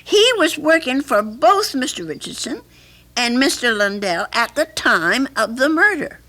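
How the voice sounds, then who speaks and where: An actress playing an anxious, earnest older woman with a troubled conscience in a radio drama, speaking haltingly. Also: high-pitched, big pitch swings, pushed and bright, exaggerated voice. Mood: earnest, insistent. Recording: faintly noisy archival radio recording.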